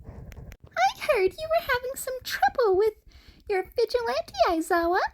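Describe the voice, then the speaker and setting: A woman's voice: extremely high pitch, big pitch swings, a little slow, background noise, voice pushed up, exaggerated voice. A woman voicing a small, rat-like male principal, polite and pleasant with a sly, probing manner. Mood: pleasantly sly.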